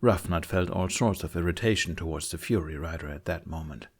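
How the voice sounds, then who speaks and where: A man's voice: low-pitched, brisk, slightly rough. A man reading a fantasy audiobook as scripted narration.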